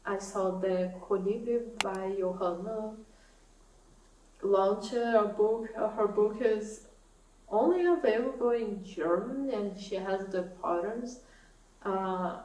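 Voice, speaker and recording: Slow, soft-spoken woman. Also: mid-range voice. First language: Portuguese. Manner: soft-spoken, thoughtful, laughs often. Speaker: knitting vlogger. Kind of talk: casual chat to camera. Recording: echoey room, mic at a distance, faint hiss.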